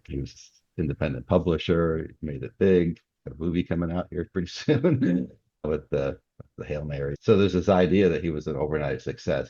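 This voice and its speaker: A man speaking as a guest author in a relaxed interview, explaining things through examples. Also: rumbling low, slightly rough, no room sound. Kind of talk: relaxed interview conversation about writing. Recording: dull, muffled lo-fi audio.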